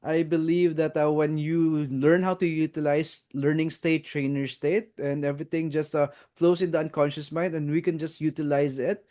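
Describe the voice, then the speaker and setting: A man hosts the interview as a trainer, speaking confidently and steadily. His voice sits somewhat high for a man, and he pauses with "uh" and checks in with "right?". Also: little pitch movement, dark tone. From Philippines. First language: not English. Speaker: host, NLP master trainer. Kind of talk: conversational interview.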